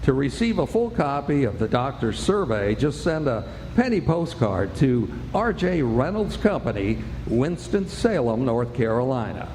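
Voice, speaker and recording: A man playing an upbeat 1940s radio announcer, reading product pitches with polished, emphatic salesmanship. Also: sing-song, a little slow, few pauses, a little mellow. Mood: earnest selling. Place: live stage performance in a large indoor space. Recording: echoey hall, faint background noise.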